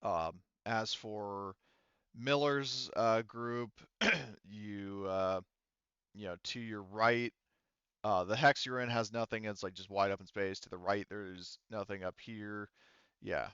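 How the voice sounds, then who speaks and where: Low-voiced man, clear and halting game master. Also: a bit bright, no echo, dull audio. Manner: halting, conversational explaining. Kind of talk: improvised tabletop game narration.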